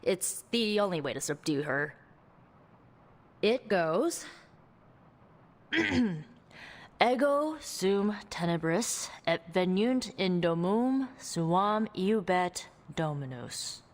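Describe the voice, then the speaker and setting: An actress playing a quick-witted, sardonic young sorceress in a video game, talking in a casual, halting, anxious way. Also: female voice, lowish voice, animated, big-room sound. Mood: urgent, instructing. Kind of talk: video game cutscene dialogue.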